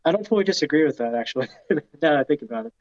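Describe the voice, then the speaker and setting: A slightly muffled, lo-fi recording of a man with a moderately high voice. He co-hosts a film podcast and offers his opinions casually, in a mild and hesitant way. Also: lively intonation, racing. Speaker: podcast co-host. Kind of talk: casual movie review chat. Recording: dull, lo-fi sound.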